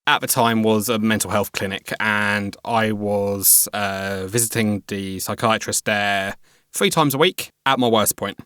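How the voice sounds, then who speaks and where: A man's voice: on the low side, unhurried, fairly bright tone, smooth, no room sound, clean studio sound. A young man, a podcast guest talking candidly and reflectively, with a few stammered repeats. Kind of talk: candid conversational interview.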